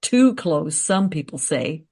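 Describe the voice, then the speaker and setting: An actress playing a confident, eager researcher in a radio play, recounting history in a teasing, matter-of-fact way. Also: female voice, sits low, very animated, slow, darkish tone, husky, heavily acted. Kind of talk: radio play dialogue, storytelling.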